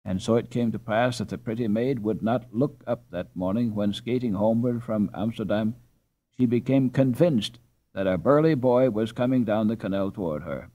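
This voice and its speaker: A man narrating an audiobook in an expressive, measured storytelling style with dramatic pauses. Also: fairly low pitch, lo-fi sound.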